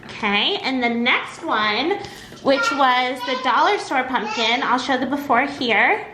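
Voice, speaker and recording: A woman chatting casually as a craft vlogger in a nasal voice, with a bubbly, expressive, gushing manner. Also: high voice, unhurried, background noise. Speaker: craft and home decor YouTuber. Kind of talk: casual vlog chat.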